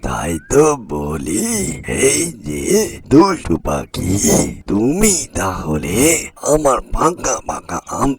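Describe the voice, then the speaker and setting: Man voicing a husky old ghost grandpa. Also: low voice, huge pitch swings, slow, full character voice. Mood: accusing. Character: old male ghost grandfather guarding mangoes, stern but soft-hearted. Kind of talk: slow, theatrical cartoon voice acting.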